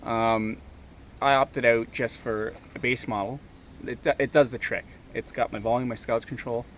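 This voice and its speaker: A man's voice: mid-range voice, fairly even intonation, moderate pace, fairly bright tone, slight background noise. A man giving an informal instructional demonstration, often checking in with "okay?" as he goes. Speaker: presenter, kayak angler.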